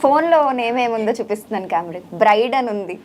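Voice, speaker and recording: Quick, high-voiced woman interviewer. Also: lively intonation, a little mellow, a little hiss or hum. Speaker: interviewer, anchor. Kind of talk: casual interview.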